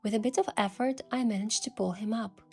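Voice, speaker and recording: A woman voicing a curious young woman posing as a princess, narrating her own story softly in a measured, wistful way. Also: a little high, breathy edge, faint music behind. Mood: soft, descriptive. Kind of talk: scripted first-person story narration with acted dialogue.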